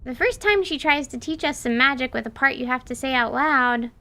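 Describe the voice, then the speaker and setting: High, creaky-voiced, cheerful big sister played by a woman. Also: brisk, bright, forceful tone. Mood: teasing, reassuring. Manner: casual, chatty. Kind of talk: audio drama acting.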